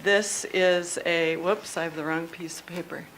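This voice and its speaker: Woman co-presenter, loud big voice, slow and bright, faint room hiss. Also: fairly low pitch, fairly even intonation, rough recording. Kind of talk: informal talk and poetry reading. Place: meeting room with an audience.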